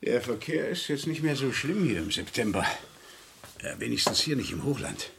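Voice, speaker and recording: An actor playing a genteel, hospitable colonel in a vintage German radio drama. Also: male voice, medium pitch, big pitch swings, normal speed, vocal fry, fairly bright tone, slight background noise. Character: genteel, hospitable colonel at his Highland home.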